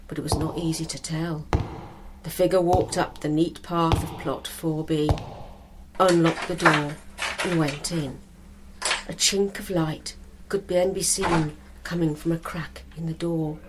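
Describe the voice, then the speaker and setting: A woman reading a scripted story as narrator, in a measured, expressive storytelling manner. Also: deep voice, heavy background noise.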